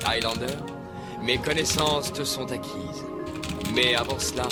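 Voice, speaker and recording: An actor voicing a proud, composed, centuries-old master inventor in a dubbed cartoon, speaking formally and with measure. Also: male voice, medium pitch, fairly even intonation, a little slow, close to an everyday voice. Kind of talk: French-dubbed 1990s cartoon acting. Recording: loud music behind the voice.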